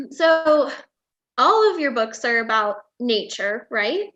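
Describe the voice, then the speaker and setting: A woman's voice: sits high, big pitch swings, slow, fairly bright tone. A woman with an American accent casually hosting an event and interviewing a guest. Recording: dull, lo-fi online audio.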